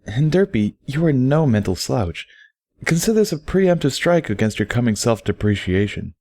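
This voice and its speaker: A man's voice: mid-range voice, sing-song, moderate pace, a little mellow, dry recording, studio quality, voice pushed up. A man voicing a confident, teasing, educated female pony who explains things patiently and wordily in a story reading. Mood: playfully confident.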